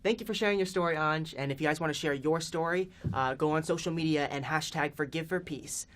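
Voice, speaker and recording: A man's voice: fairly high pitch, a little fast, a bit bright. A young man interviewing a guest, reading his prepared questions in an upbeat way. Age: young adult.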